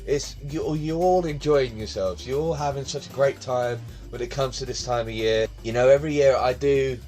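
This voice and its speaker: A man's voice: mid-range voice, normal speed, a little mellow, faint music behind. A man in his late twenties, hosting his own vlog in casual, rambling chat, recorded on a phone in his bedroom. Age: 20s. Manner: rambling, chatty, repeats himself. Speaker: vlog host. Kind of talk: casual talking-to-camera vlog. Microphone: phone camera on tripod.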